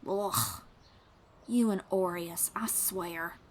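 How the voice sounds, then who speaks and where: A woman's voice: lowish voice, big pitch swings, very slow, very noisy, full character voice. A woman playing a friendly young traveling bard in an audio roleplay, encouraging and reassuring, with a folksy drawl and casual phrasing. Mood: fond teasing. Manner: encouraging, reassuring, gently guiding. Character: friendly young female traveling bard who teaches riding. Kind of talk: second-person audio roleplay acting.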